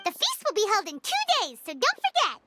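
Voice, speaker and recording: A tiny, chirpy fairy sidekick from an anime game. The voice is extremely high, squeaky and childlike, swooping around excitedly over heavy background noise. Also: female voice, a little fast, exaggerated voice. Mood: chirpy, eager. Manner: exclamatory, speaks of self in third person. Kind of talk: English anime game dub acting.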